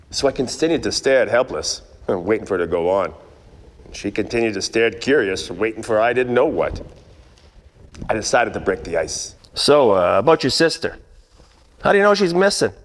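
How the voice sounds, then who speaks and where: An actor playing a wry, world-weary 1940s private detective in a parody of old radio noir. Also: male voice, mid-range voice, natural voice. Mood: skeptical. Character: wisecracking hard-boiled 1940s male private detective. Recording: echoey stage or hall reverb.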